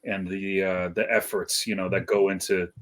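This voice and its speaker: Low-voiced, reflective middle-aged man. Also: level tone, moderate pace, a bit bright. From Iceland, moved to California at seven. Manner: hesitant, reflective, rambling with slangy asides. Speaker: guest, hip hop DJ. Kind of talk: casual interview chat.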